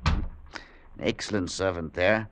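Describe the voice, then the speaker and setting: An actor playing a coldly rational, unsentimental professor with a clipped, precise, haughty manner in a 1940s radio drama. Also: male voice, low-pitched, steady tone, a little slow, fairly bright tone. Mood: haughty, detached.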